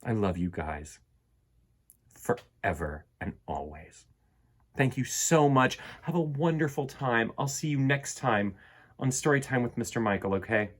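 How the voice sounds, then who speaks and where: A man hosting a children's storytime, reading and talking in a theatrical, expressive way with dramatic pauses. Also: mid-range voice. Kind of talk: animated storybook narration for children.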